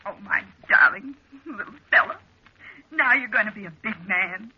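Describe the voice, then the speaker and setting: An actress playing a gushing, muddle-headed young sweetheart who dithers, in a 1940s radio drama. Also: female voice, medium pitch, animated, moderate pace, breathy edge. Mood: gushing, excited. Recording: dull old radio recording.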